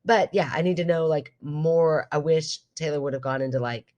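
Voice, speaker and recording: A woman's voice: low-pitched, moderate pace, darkish tone, dull audio. A woman hosting a podcast in casual chat, talking in a bantering, playfully argumentative way with her co-host.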